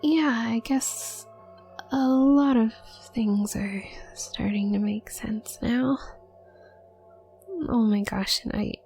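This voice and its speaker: A woman playing a shy, awkward young witch student in an audio roleplay, speaking softly and breathily with a nervous, halting stammer. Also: on the high side, vocal fry, soft background music. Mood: dawning realisation, embarrassed. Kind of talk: roleplay audio drama addressed to the listener.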